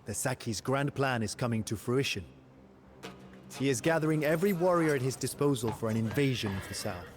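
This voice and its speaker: An actor playing a weary, devoted military commander in a video game, narrating war in a grave, measured, dramatic first-person style over music. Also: male voice, medium pitch, animated, lightly acted. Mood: anxious, foreboding. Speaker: narrator of campaign cutscenes. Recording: studio voice over background music.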